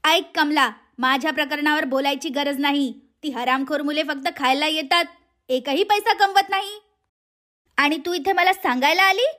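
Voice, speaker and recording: A woman's voice: high voice, moderate pace, pushed and bright. A woman voicing a cruel, short-tempered aunt in a cartoon moral story, scolding and threatening. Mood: threatening, angry.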